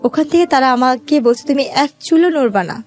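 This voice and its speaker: A Bangladeshi woman with a fairly high voice recounts her personal story as an interview guest. She speaks in a colloquial, conversational way, with faint music underneath. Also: animated, normal speed, darkish tone.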